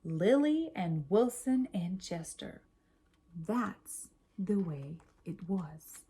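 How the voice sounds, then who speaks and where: A woman reading a children's story aloud in an expressive, measured storytelling manner. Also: fairly low pitch, slightly rough. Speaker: storybook reader.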